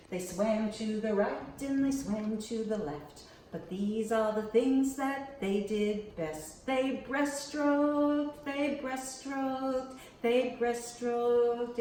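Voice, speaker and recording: A woman hosting a children's storytime, reading aloud and leading counting rhymes in a slow, expressive, sing-song way. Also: on the high side. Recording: echoey room with faint background hiss.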